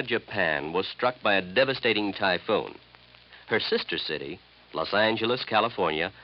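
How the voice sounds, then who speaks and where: A man reading a scripted, formal public service message as a radio announcer, earnest and measured. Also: fairly low pitch. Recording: muffled old radio broadcast.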